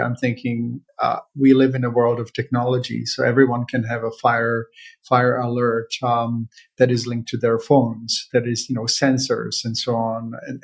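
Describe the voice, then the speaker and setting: A man, hosting an interview in earnest, halting casual talk full of fillers and restarts. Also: medium pitch, slightly rough. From Czech Republic. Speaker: veterinarian podcast host.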